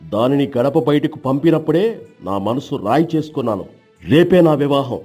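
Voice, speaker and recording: A stern village husband acted by a man in a storybook drama, with a mid-pitched voice. He speaks curtly and commands like someone who will not bend, with music behind him. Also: lively intonation, moderate pace, warm tone, breathy edge. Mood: stern anger. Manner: curt, hard, commanding. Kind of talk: storybook character dialogue.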